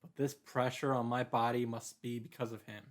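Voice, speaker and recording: A man's voice: medium pitch, level tone, normal speed, background noise. A man reading visual novel narration aloud as the host of a read-through, with casual reactions. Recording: music behind the voice. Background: game music.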